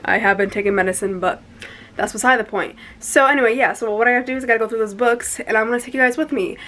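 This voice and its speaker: A woman's voice: fairly high pitch, fairly even intonation, fast, sharp tone. A young woman with a congested, sniffly voice, chatting blunt and playful to camera about her bookshelves in her bedroom. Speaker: booktuber host. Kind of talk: casual chat to camera.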